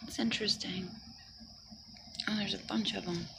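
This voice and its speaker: A woman in a casual outdoor vlog, talking in a curious, chatty way and sometimes murmuring to herself. She is recorded on a handheld phone in overgrown woods, with loud outdoor noise and birdsong all around. Her voice sits at an ordinary middle pitch. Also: steady tone, normal speed, gravelly. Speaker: vlogger. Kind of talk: casual nature walk vlog.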